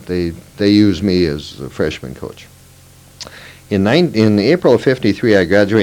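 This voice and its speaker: Man, fifties, Minnesota-raised, low voice; interviewee telling his life story, rambling, self-correcting. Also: a little mellow, low-quality recording. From Moorhead, Minnesota. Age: 50s. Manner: reminiscing, meandering with fillers and self-corrections. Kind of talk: oral history interview.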